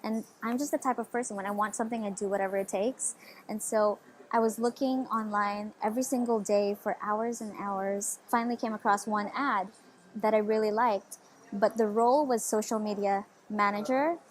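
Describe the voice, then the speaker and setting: A woman's voice: mid-range voice, steady tone, normal speed, slight background noise. A woman in her twenties from the Philippines, telling her story eagerly in a casual interview, with lots of "like" and "you know". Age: 20s. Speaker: interview guest.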